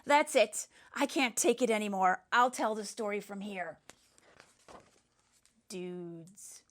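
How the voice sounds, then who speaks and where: A woman's voice: mid-range voice, lively intonation, slow pace, fairly bright tone. A woman voicing a brash schoolboy who tells a macho storybook action tale in a blunt, slangy, boastful way. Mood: scornful.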